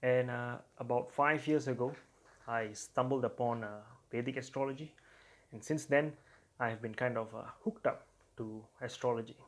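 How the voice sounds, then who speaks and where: A man from Singapore, presenting an astrology video and explaining it with frequent pauses and filler sounds. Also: medium pitch, fairly even intonation. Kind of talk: explanatory talk to camera.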